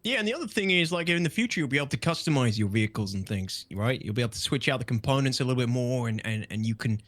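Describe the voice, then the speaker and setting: A man co-commentating an esports broadcast, chiming in agreeably with quick remarks. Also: mid-range voice, lively intonation, a bit bright. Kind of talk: casual interview chat.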